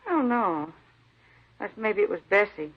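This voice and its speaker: An actress playing an opinionated, slightly defensive store employee in a 1950s radio drama, talking in an emphatic, colloquial way. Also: female voice, mid-range voice, animated, moderate pace, close to an everyday voice. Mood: casual. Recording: dull old-time radio recording.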